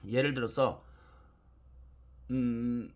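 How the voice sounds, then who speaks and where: A man's voice: mid-range voice, fairly even intonation, extremely slow. A man lecturing in an explanatory, emphatic way, repeating key points and asking rhetorical questions.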